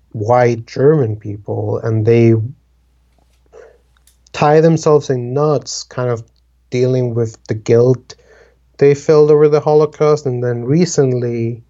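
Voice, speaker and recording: A man's voice: mid-range voice, mellow, highs cut off. A man in his twenties from the Dominican Republic, chatting casually and rambling with many fillers like "like" and "um". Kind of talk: casual conversation.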